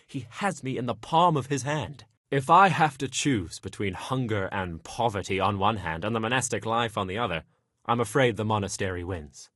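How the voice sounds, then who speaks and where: A man's voice: fairly low pitch, normal speed, fairly bright tone, no echo, clean studio sound. A man playing a lusty, self-pitying young nobleman in a video game, complaining dramatically in coarse, colloquial speech. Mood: grumbling, confiding. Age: young adult. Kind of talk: video game character dialogue.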